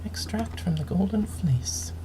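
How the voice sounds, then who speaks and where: A woman game streamer reading story texts aloud in a measured, dramatic storytelling manner, with noticeable noise in the recording. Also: medium pitch, animated. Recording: clearly audible background noise.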